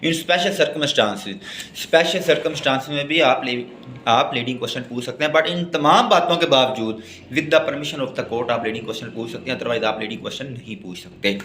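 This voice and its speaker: A man's voice: medium pitch, moderate pace, a bit bright. A man with a Pakistani accent lecturing in a didactic way, reading out notes and explaining them point by point. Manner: didactic, spells out and repeats points. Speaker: law lecturer. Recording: echoey room with faint background noise.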